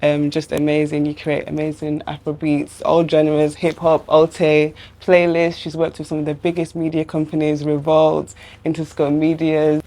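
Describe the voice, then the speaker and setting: Low gravelly woman hosting, bubbly. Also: monotone, moderate pace, hardly any pauses, warm tone. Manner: bubbly, laughs often. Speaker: host. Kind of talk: casual interview.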